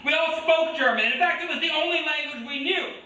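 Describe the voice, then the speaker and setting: Stage drama: woman as impassioned, grieving accuser, sharp bright voice, heard from far off in a very echoey room. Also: high voice, a little fast. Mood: bitter. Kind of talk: staged play reading. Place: indoor room at a live staged reading, distant mic.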